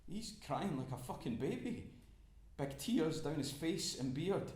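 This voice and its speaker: A frightened oil rig worker in a stage drama. His voice sits a little high, and he speaks haltingly, repeating himself breathlessly. The room echoes around him. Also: lively intonation, a little mellow. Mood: anxious, pleading. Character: frightened oil rig worker trapped in a fire, trusting management. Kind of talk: dramatic first-person stage monologue.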